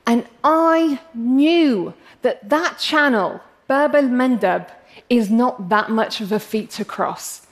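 A woman's voice: on the high side, expressive, takes it slowly. A young English woman with an English accent giving a prepared public talk, passionate and wry with self-deprecating humor. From England.

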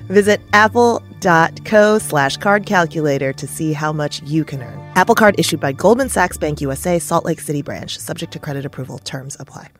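Low, lively woman reading a commercial. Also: fairly quick, few pauses. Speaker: advertisement announcer. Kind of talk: scripted commercial voice-over. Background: music.

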